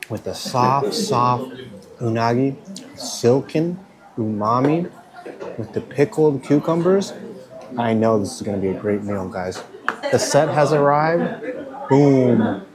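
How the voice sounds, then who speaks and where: A man in his thirties talks to a handheld camera while he eats, upbeat and chatty, often humming over his food. His voice sits at a middle pitch. A busy crowd of diners chatters behind him. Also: poor audio. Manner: enthusiastic, keeps saying "guys", savoring with hums. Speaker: food vlogger. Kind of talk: casual food vlog narration. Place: restaurants in Kyoto, indoors and riverside outdoors. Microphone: handheld camera at arm's length.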